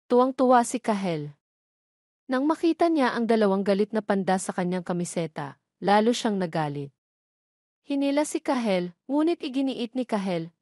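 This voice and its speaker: A woman's voice: mid-range voice, darkish tone, dry recording, studio quality. A woman reading scripted narration of a romance drama as a storyteller.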